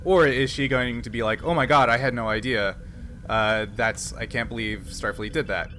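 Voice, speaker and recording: A man's voice: medium pitch, moderate pace, bright, forceful tone, slight background noise. A man narrating as game master of a tabletop roleplaying game, explaining in a conversational way. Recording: music playing behind the voice. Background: music.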